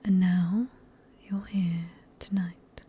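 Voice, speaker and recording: A woman with a British accent playing a sadistic, possessive vampire in a whispered ASMR roleplay, speaking in a breathy, teasing, genteel and condescending manner. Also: on the low side, flat delivery, slow pace, dark tone, exaggerated voice. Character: sadistic, possessive female vampire, silkily polite and manipulative.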